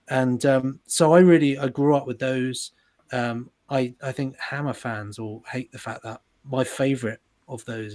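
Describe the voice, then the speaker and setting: Guy chatting on a stream, loose and self-deprecating, lots of restarts. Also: medium pitch. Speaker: sound designer. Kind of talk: casual chat.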